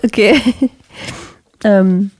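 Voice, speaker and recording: Young woman, casual podcast co-host, mellow voice, mid pitch, laughs a lot. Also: fairly even intonation, very slow. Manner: laughs often, gently probing. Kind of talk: casual couple podcast chat. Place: living room at home.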